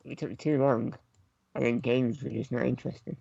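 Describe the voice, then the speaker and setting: A man on a gaming podcast panel, talking casually in short, halting pieces. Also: mid-range voice, fairly even intonation, creaky, dark tone, husky, no room sound, dull audio.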